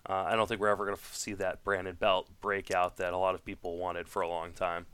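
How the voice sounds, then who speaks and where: A man's voice: low-pitched, monotone, fast, bright, forceful tone, clean tone, no room sound. A man hosting a podcast, talking casually and thinking aloud about sports, a native French speaker. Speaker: podcast host. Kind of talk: casual sports talk, fantasy baseball discussion.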